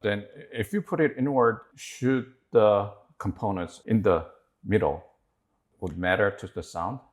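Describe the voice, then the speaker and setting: A man with a lowish voice works as an interviewer. He puts his questions in a conversational, somewhat halting way, rewording them along the way.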